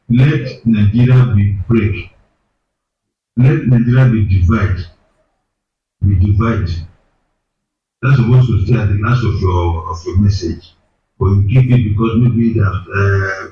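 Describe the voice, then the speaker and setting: A man with a fairly low voice, a native Yoruba speaker, delivers an emphatic and repetitive political appeal. He sounds far from the mic, on a muffled, heavily echoing line with a faint hiss behind him. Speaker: caller. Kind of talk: impassioned political call-in.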